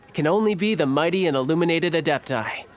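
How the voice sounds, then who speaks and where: A man acts out a cunning young diplomat in a fantasy game. His voice sits a little high and moves with smooth, playful theatricality. There is faint noise behind him. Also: expressive, quick pace, lightly acted. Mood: intent, urging. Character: suave, playful young male foreign envoy with hidden cunning. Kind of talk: fantasy video game voice acting.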